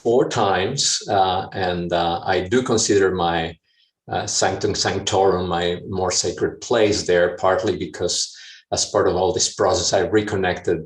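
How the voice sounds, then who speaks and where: A man from Mexico City talks through a personal story to an audience, slow to find his words, with many fillers and restarts. His voice sits fairly low. He sounds set back from the mic in an echoey room. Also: fairly even intonation, distant mic. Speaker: speaker sharing a personal story. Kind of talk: reflective talk to an audience.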